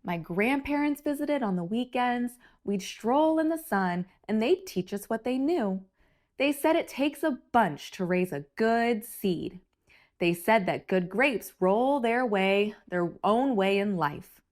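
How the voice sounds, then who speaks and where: A woman reading a picture book aloud for children with expressive, theatrical emphasis. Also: on the high side, slow pace. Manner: animated, theatrical emphasis for young listeners.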